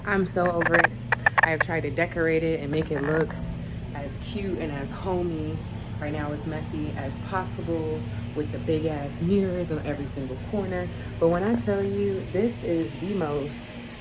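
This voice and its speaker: A young woman with a low voice speaks bluntly and with feeling, straight to her viewers in a candid vlog. Room noise sits clearly behind her voice. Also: moderate pace. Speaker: vlogger. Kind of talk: candid talking-to-camera chat.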